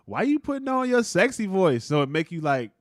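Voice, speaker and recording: A man with a deep voice chats casually as a podcast co-host, animated and full of slang. His delivery is lively, and the sound is dry and close. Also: fairly quick, dry recording. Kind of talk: casual chat.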